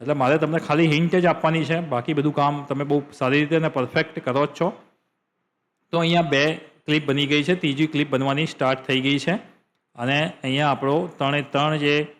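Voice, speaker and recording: A man with a Gujarati accent hosting a screen-share tutorial, explaining step by step and repeating phrases for emphasis. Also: a little high. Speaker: tutorial host.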